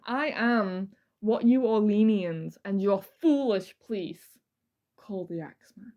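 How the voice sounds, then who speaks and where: A woman voicing a boastful murderous male spirit from hell, reading his letter in a slow, theatrical, menacing way. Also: mid-range voice, muffled recording, close to an everyday voice. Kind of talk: over-the-top dramatic letter reading.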